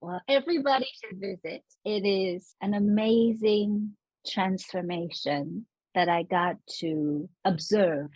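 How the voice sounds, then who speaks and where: Husky woman explaining city planning. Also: medium pitch, takes it slowly, hardly any pauses, dry recording, rough recording. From Los Angeles. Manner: animated, laughs while explaining. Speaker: urban planning scholar guest. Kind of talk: conversational interview explanation.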